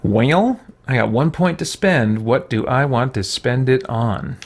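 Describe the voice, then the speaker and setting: Slow-paced man musing over a game. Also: medium pitch, breathy edge. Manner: musing, thinking aloud. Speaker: gaming streamer. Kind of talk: casual game commentary, reading chat. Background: faint game music.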